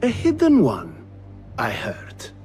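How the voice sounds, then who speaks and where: An actor playing a shrewd, proud middle-aged male governor in a video game, deliberate, dry and haughty. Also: mid-range voice, huge pitch swings, darkish tone. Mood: dry, self-justifying. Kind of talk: cinematic video game acting. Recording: music behind the voice. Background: music.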